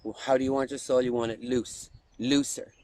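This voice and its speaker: A man's voice: medium pitch, fairly even intonation, a little fast, a little mellow, a little hiss or hum. A man giving playful, exaggerated comic instructions in a video. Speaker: comedy performer.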